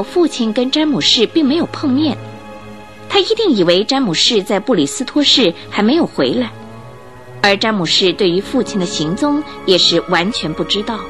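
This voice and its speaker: A woman narrating a scripted radio drama in an expressive, measured storytelling manner. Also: mid-range voice. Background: music.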